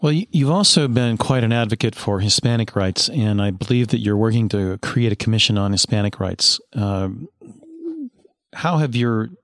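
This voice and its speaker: A man's voice: fairly low pitch, moderate pace, dry recording, clean studio sound. A male host asking interview questions, stumbling slightly now and then.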